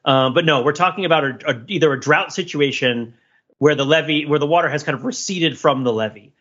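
A man's voice: fairly high pitch, a little fast, a bit bright, dull audio. A man hosting a casual podcast discussion, thinking aloud in a rambling, playfully analytical way. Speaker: podcast host.

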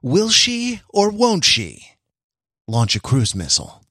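An American man hosting a podcast in a casual, wry way, with halting, wordy, mock-formal phrasing. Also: high-pitched, huge pitch swings, very noisy. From California, United States. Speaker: podcast host.

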